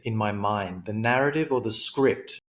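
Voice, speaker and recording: Middle-aged male coach with a lowish voice, reflective interview guest. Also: normal speed. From Sri Lanka, raised in Australia. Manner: thoughtful, frequent fillers and self-corrections. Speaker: guest, high-performance coach.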